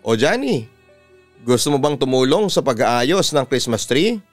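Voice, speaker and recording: A man voicing a timid, kindly stepmother in a narrated family story, gentle and hesitant. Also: mid-range voice, sing-song, unhurried, dry recording, faint music behind. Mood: friendly.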